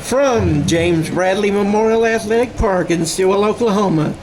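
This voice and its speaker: Male amateur football play-by-play announcer, high voice, short bursts of clock and score, live outdoors. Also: normal speed, darkish tone, low-quality recording.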